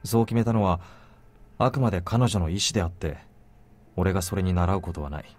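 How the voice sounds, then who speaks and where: An actor voices a stoic young man from a visual novel. His voice is low and his lines come very fast. He is blunt, terse and coolly resolute. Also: darkish tone, lightly acted. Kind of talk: Japanese visual novel voice acting.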